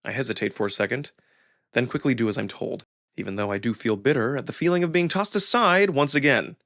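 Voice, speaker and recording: A man's voice: medium pitch, a little fast, fairly bright tone, no room sound, clean studio sound. A man reading first-person narration from a visual novel in a dramatic storytelling style. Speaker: narrator and voice performer.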